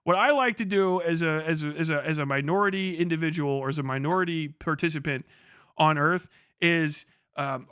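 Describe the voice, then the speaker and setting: A man with a fairly high, sharp and forceful voice hosts a casual livestream. He rants with profanity and sarcasm, slipping into mock dialogues. The sound is dry and close. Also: smooth, no room sound. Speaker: livestream host. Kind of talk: casual livestream political rant, reading chat.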